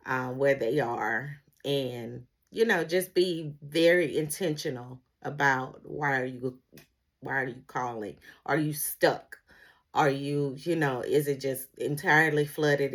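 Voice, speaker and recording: A woman's voice: very deep voice, a bit bright. A middle-aged woman talking in a casual, rambling solo vlog style, often searching for her words. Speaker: vlogger, former emergency dispatcher.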